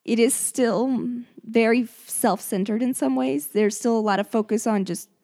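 A woman hosting a book show in casual conversation, her voice hoarse and gravelly and prone to cutting out. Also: on the high side, normal speed, dark tone. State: hoarse, gravelly voice that comes and goes. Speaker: host of a book discussion show.

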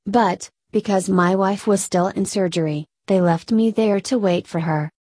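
A woman narrator reading a scripted feature article aloud in a measured way. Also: mid-range voice, steady tone, mellow, no echo, clean studio sound.

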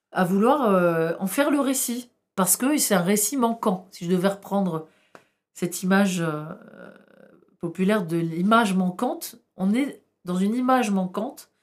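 A middle-aged woman historian talks with passion in a podcast interview. She asks rhetorical questions and pauses often with hesitations. Her voice sits at an ordinary middle pitch. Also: a little mellow. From France. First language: Arabic. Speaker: historian guest.

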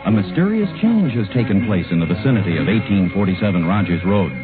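Folksy radio storyteller, low lively voice, theatrical, playfully spooky, muffled 1940s recording with music. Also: male voice, fast talker. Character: folksy male storyteller setting a spooky Halloween scene. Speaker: announcer-narrator of a radio comedy. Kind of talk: 1940s radio scripted narration.

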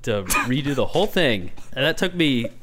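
A young man with a raspy voice co-hosting a podcast in a laid-back, self-mocking chat. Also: mid-range voice, very animated, normal speed, background noise. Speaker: podcast co-host.

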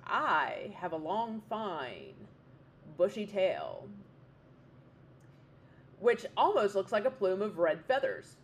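A woman's voice: mid-range voice, wildly swooping pitch, takes it slowly, pauses often, slight background noise, heavily acted. A woman voicing a sly male fox general who talks in a casual, offhand way. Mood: scheming.

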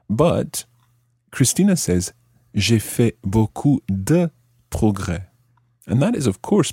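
Low mellow guy doing a french lesson, explains stuff carefully, dry sound. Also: no echo. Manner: clear, deliberate teacherly phrasing. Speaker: language lesson host explaining French grammar. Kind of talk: instructional explanation switching between English and French examples.

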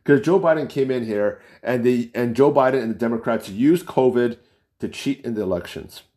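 A man's voice: mid-range voice, moderate pace, mellow. A man from the United States speaking off the cuff in an opinion monologue, full of rhetorical questions and restarts. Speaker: political commentator.

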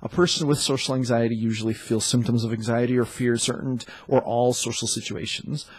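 Husky congested man hosting a podcast. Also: mid-range voice, steady tone, brisk, rough recording. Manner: loose and chatty, with many fillers and self-corrections. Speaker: podcast host and dating coach. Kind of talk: casual solo podcast talk.

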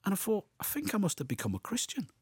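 A man voicing a chatty, blunt working-class bloke from Surrey, in a gruff drawl and a higher voice than his own. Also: huge pitch swings, fast talker, a little mellow, no echo, studio quality, heavily acted. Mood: wondering. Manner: blunt, matter-of-fact, gruff Cockney-style drawl.

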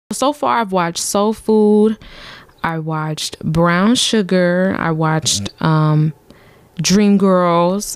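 A young woman hosting her own podcast in casual, playful chat, full of slang, her voice a little deep lately. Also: darkish tone, no room sound. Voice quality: voice sounding a bit deep lately. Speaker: podcast host.

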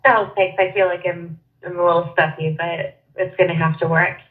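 A woman's voice: fairly low pitch, little pitch movement, normal speed. A young woman guest with a head cold and a nasal voice, chatting bubbly and laughing easily over a phone call. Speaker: podcast guest, wrestler. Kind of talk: casual chat. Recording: tinny phone line.

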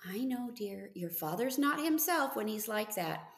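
A weary farm mother from a storybook, worried and quick to admonish her boy, as a woman reader acts her out. The voice has a creaky edge and rings in a roomy space. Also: mid-range voice, big pitch swings. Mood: placating.

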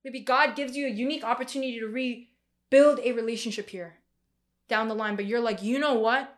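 Woman preaching in a casual, halting way. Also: fairly high pitch, steady tone. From Korean family background. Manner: conversational, many fillers and restarts. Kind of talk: sermon.

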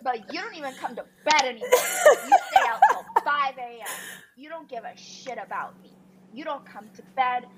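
A woman's voice: high-pitched, sing-song, moderate pace, crowd noise, rough recording. A woman reading the part of an angry, insecure, foul-mouthed fiancée in a dramatic reading of a reality TV fight. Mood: indignant. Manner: combative, sweary, accusatory.

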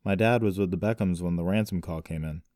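A man playing a calm young man in an audiobook story, placating but firm as he reasons. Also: sits low, fast talker, no room sound, clean studio sound, close to an everyday voice. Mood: firm, reasoning.

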